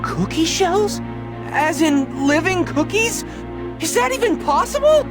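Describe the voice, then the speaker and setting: A woman voicing a small, plucky and earnest young cookie hero in a game, blunt and excitable. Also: very high voice, unhurried, exaggerated voice. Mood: startled, puzzled. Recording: loud music behind the voice.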